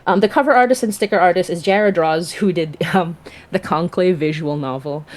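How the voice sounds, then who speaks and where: A woman's voice: lowish voice, moderate pace. A woman speaking as a guest creator in casual livestream chat, effusive and emphatic in the way she talks. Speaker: audio drama creator, guest.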